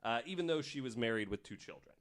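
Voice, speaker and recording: A man's voice: medium pitch, expressive, quick pace, fairly bright tone. A man hosting a podcast, telling stories from notes in an informal, casual way. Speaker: podcast host.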